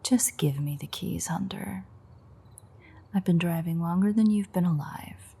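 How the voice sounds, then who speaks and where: A woman playing a playful, teasing vampire with dark predatory confidence in an intimate, sardonic audio roleplay, her voice husky and breathy. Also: fairly low pitch, unhurried, heavily acted. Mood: playful, coaxing. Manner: teasing, sardonic, swearing banter.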